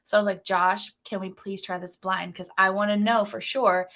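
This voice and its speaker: A woman co-hosting a relaxed livestream about whiskey, speaking openly and earnestly with a hint of self-consciousness. Her voice is bright and sharp. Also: medium pitch, normal speed. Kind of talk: casual livestream chat.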